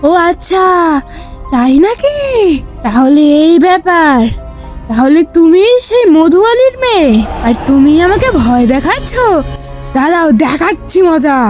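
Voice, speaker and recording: A woman's voice: extremely high pitch, sing-song, measured pace, a little mellow, soft background music, exaggerated voice. A woman voicing an evil, envious shadow sorceress in a fairy tale cartoon, theatrical and threatening. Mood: taunting, threatening.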